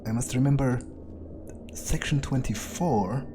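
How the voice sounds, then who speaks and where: A man playing a weary, foul-mouthed vampire who thinks aloud in a halting, musing monologue, as in a game playthrough. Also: mid-range voice, background noise, lightly acted. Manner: halting, musing, casually profane. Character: weary, foul-mouthed male vampire muttering his thoughts. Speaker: gaming video creator playing in character.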